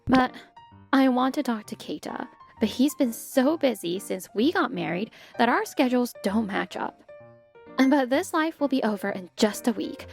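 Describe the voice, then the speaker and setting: A woman voicing a polite, put-upon stepmother who narrates her own story in an expressive manga dub. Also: fairly high pitch, normal speed, gravelly. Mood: narrating, troubled. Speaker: narrator and lead character. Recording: music bed behind the voice.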